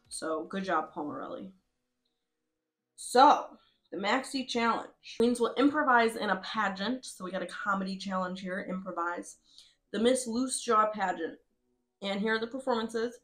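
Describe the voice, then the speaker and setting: A young woman hosts a simulated competition in a casual, playful way. She talks in slang and reads out results like a pageant host, at a middling pitch. Music plays behind her voice. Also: a bit bright. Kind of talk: casual reaction commentary.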